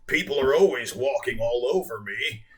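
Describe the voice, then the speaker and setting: A man voicing a huge, sad, self-pitying male blue whale in a deep, slow, mournful drone, in a read-aloud storybook. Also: lively intonation, close to an everyday voice.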